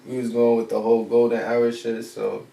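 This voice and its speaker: Gravelly, flat-voiced young man from Jamaica. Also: mid-range voice, fairly quick. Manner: laid-back, peppers talk with "feel me" and slang. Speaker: rapper guest. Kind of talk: casual interview chat. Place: studio set with brick backdrop. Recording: roomy echo, distant mic, faint hiss.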